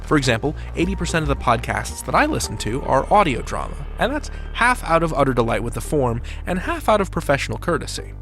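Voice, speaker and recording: A man's voice: a little high, big pitch swings, quick pace, hardly any pauses, a bit bright, faint music behind. A man hosting a podcast with scripted narration in a wry, playful manner. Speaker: podcast host.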